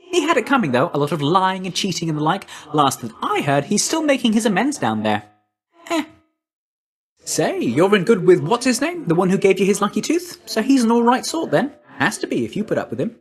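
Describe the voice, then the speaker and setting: An actor playing a quick, chipper, cheeky young messenger god in a video game, with breezy, chummy, informal patter. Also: male voice, high-pitched. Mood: breezy, gossipy, then amused, chummy. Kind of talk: video game character voice lines.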